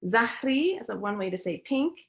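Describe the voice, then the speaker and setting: A woman raised in the United States leading a children's story time lesson, talking slowly and cheerfully for young listeners. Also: a little high, lively intonation. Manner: upbeat, slow and clear teaching for young kids.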